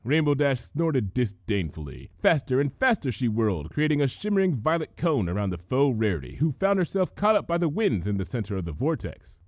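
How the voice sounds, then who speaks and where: A man reading a comic adventure story aloud as an expressive scripted narration. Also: medium pitch, brisk, hardly any pauses, no room sound, studio quality. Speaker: fan fiction reader, narrator.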